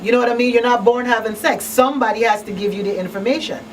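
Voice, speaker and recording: A woman's voice: a little high, fast talker. A woman speaking conversationally as a talk show panelist, making her points emphatically with rhetorical questions. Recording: distant mic, faint background noise.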